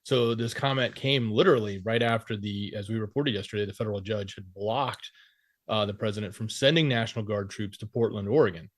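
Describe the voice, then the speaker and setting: A man's voice: fairly low pitch, fairly quick, dry recording. A man co-hosting a news show, reporting and analyzing in an informal, explanatory, conversational way.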